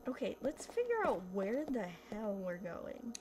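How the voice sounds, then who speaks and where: A woman's voice: on the high side, huge pitch swings, measured pace, heavy background noise. A young woman hosting a gaming video, chatting casually and rambling with lots of fillers while she plays. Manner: chatty, rambling, self-deprecating, many fillers. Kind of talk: casual commentary while playing. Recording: loud game music behind voice.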